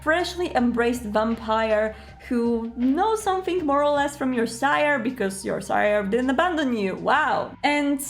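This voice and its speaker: A woman presenting scripted roleplay tips in an animated way, addressing the listener directly with playful rhetorical asides. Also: on the high side, unhurried, few pauses. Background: background music.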